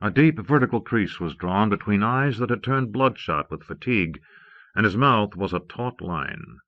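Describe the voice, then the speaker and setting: A man's voice: on the low side, expressive, normal speed, lo-fi sound. A man narrating fiction from a script as an audiobook reader, on an old cassette transfer.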